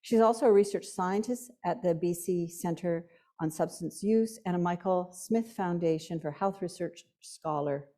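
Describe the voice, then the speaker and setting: A woman hosting a lecture event, introducing the guest speaker and reading her credentials in a measured way. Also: fairly low pitch, dark tone. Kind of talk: formal introduction.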